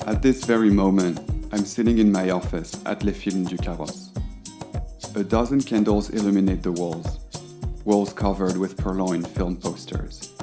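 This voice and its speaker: An actor playing an ardent film director reading out his florid, reverent love letter in an audio drama. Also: low-pitched. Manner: ornate, reverent, literary delivery. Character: French film auteur, ardent, florid romantic letter writer.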